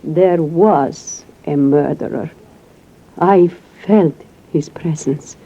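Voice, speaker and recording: Slow, solemn, mysterious psychic. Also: female voice, deep voice, dark tone, husky, full character voice. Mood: weary, grave. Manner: formal, measured, grave. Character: weary, mysterious European female psychic, aloof and solemn. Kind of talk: 1940s radio drama acting. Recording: muffled vintage radio recording.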